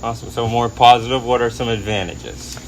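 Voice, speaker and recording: A man's voice: mid-range voice, steady tone, brisk, a little hiss or hum, poor audio. A man hosting a casual conversational interview, informal and agreeable, often chiming in with short affirmations. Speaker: interview host.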